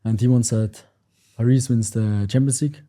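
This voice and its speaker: A man's voice: mid-range voice, fairly even intonation, moderate pace, dark tone. A man from Switzerland, a panel guest, playful and teasing.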